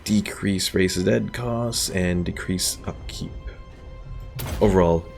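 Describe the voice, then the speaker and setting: Deep gravelly-voiced man streaming a game. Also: slow, a little mellow. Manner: thinking aloud, explaining game mechanics. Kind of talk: casual gameplay commentary. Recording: game music in background.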